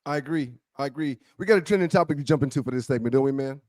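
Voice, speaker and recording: A man's voice: medium pitch, racing, a little mellow, no room sound, studio quality. A man co-hosting a talk show in casual banter, joking and repeating phrases for emphasis.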